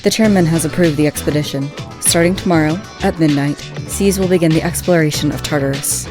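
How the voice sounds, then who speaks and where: A woman playing a composed, commanding student leader in a fan audio drama, formal and measured. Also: sits low, music in the background, natural voice.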